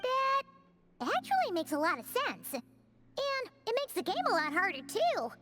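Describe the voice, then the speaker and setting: Tiny female game fairy, very high squeaky voice, bouncing intonation, childlike, excitable, music behind. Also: unhurried, full character voice. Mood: cheerful, chatty. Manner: refers to herself in third person, exclamatory. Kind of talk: animated video game voice acting.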